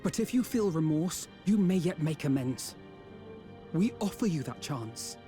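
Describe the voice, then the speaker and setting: A man playing an earnest, stern adventurer in a fantasy game, speaking in formal, measured phrases. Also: a little high, lively intonation, mellow, faint music behind. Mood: stern, urging. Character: earnest, stern male adventurer urging redemption.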